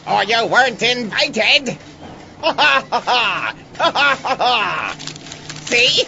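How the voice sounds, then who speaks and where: A petty villainous scientist in an audio comedy, full of sneering bluster. The voice is gravelly and swoops wildly. The recording is noisy. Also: male voice, extremely high pitch, very animated, slow pace, pushed and bright, exaggerated voice. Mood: smug sneering. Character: resentful, petty male rival mad scientist, easily flustered. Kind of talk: comic audio drama villain acting. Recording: dull lo-fi recording, clear noise.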